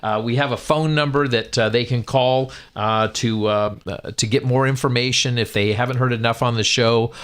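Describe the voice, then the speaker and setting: A man's voice: mid-range voice, steady tone, normal speed, hardly any pauses, a bit bright, clean tone. A man interviewing on a radio show in a conversational, hesitant and roundabout way.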